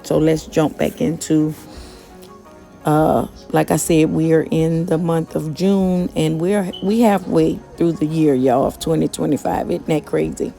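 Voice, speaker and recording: A woman giving a casual motivational talk in a monotone, conversational way with many fillers. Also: deep voice, measured pace, gravelly, soft background music. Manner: monotone, self-described. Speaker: motivational vlogger and gig worker.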